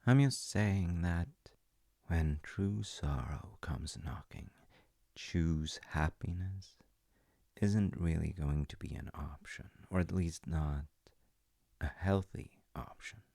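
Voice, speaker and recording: A man voicing a caring, patient father in an audio roleplay. He talks softly and reassuringly, sometimes dropping to a whisper. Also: low-pitched, creaky, no echo, studio quality, exaggerated voice. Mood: gentle. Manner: soft, reassuring, unhurried, drops to whispers at times. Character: caring middle-aged dad, gentle and patient. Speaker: voice actor.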